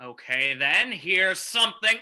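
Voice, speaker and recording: A man's voice: sits high, animated, measured pace, pushed and bright, full character voice. A man playing a cruel, taunting crook in an old-time radio drama. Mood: taunting, menacing. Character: sneering male crook, a cruel bully in a radio play.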